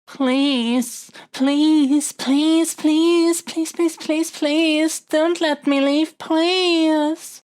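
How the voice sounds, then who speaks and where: Woman acting a slow-spoken, theatrical scheming young princess. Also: sits high, fairly even intonation, a little mellow. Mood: desperate begging. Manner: theatrical, formal phrasing with halting pauses. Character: stubborn, scheming captive young princess, melodramatic and wheedling. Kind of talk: scripted solo audio roleplay.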